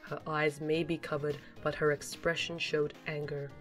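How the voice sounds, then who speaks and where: A narrator reads scripted story prose for an amateur audio drama. The voice is medium in pitch, with quiet music behind it. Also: flat delivery, normal speed.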